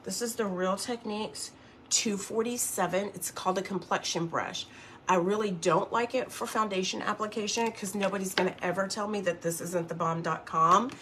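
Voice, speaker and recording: A woman's voice: mid-range voice, steady tone, moderate pace, fairly bright tone, a little hiss or hum. A woman in her early fifties hosting a casual makeup livestream, chatting and rambling as she works and reads out viewer comments. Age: 50s.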